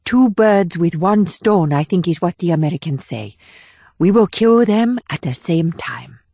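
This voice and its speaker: A woman playing a cool, controlled female assassin with a formal, measured delivery in an audiobook drama. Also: fairly low pitch, expressive, warm tone, no room sound. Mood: cool, confident. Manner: measured, formal, clipped short statements. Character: cool, controlled, steely female North Korean assassin leading a mission.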